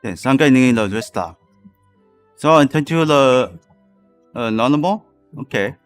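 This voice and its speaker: Slow, halting game streamer with music. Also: mid-range voice, animated, no room sound. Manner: halting, fragmented phrases. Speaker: live streamer. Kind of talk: casual game livestream commentary.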